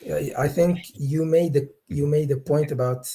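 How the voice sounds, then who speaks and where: A man calling into a live debate, halting and hesitant, stumbling over restarts while defending his view. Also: on the high side, steady tone, mellow, slightly rough. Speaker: caller.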